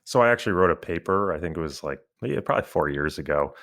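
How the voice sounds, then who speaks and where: Low-voiced man hosting a podcast. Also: expressive, fast, fairly bright tone. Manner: chatty, self-deprecating quant talk. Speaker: podcast host. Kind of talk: casual interview chat.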